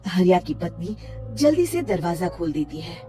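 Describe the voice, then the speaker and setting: Expressive woman narrating a cartoon tale. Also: lowish voice, normal speed, faint music behind. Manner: expressive storytelling. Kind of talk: scripted storybook narration.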